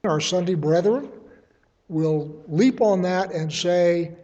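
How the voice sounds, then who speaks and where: A man teaching a verse-by-verse Bible study, reasoning aloud in a measured way and offering his readings as suggestions. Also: high-pitched, warm tone, in a large hall, highs cut off.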